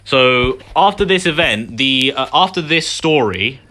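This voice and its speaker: A man's voice: mid-range voice, lively intonation, a little slow, background noise. A man hosting a gaming video with casual, conversational playthrough commentary. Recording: game music behind voice.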